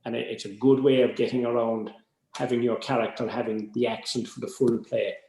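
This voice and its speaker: A man's voice: mid-range voice, level tone, brisk, creaky, dark tone. Irish man in an echoey room. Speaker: drama workshop adviser. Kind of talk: informal advice to a group.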